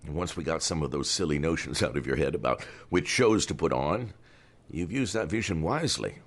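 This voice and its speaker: A man playing an older theater owner in an audio drama, formal and candid, speaking with measured authority. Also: rumbling low, lively intonation, slightly rough, heavily acted. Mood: pleased, proud. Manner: measured, formal, patronizing yet appreciative.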